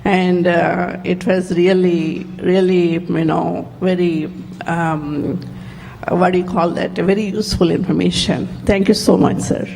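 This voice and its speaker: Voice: mid-range voice, steady tone, unhurried, gravelly, darkish tone, slight background noise. An audience member giving gushing, filler-heavy thanks at a spiritual gathering. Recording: reverberant hall with faint ambience.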